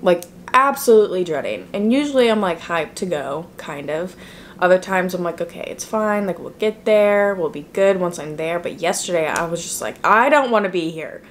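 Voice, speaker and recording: A young woman vlogger chatting casually to a handheld camera in her bedroom and car, drawn-out, with frequent "like" and some whispered asides. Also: lowish voice, gravelly, slight background noise. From Texas, US. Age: young adult. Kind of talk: casual vlog chat to camera. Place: bedroom at home, then car. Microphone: camera at arm's length.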